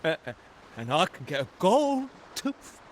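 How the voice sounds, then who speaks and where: A man's voice: on the high side, very animated, measured pace. A man playing a timid, dim pirate underling in a video game, stuttering and hesitant. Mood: nervous. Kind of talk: video game character acting.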